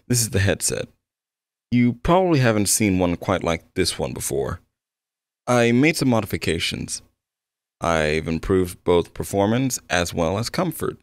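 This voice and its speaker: A man acts out an eager male game developer in an intimate audio roleplay. His voice is low and swings expressively as he talks attentively, a little awkward and quick to apologize. The sound is close and dry. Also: no echo, heavily acted. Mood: enthusiastic, friendly. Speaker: roleplay voice actor. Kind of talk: ASMR-style roleplay addressed to the listener.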